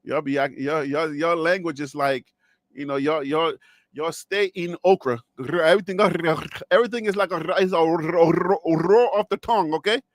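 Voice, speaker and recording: High-voiced joking man with a dry sound. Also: moderate pace, no echo. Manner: rambling, joking, laughs often, talks to viewers. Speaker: sports show host. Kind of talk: casual solo sports talk with jokes.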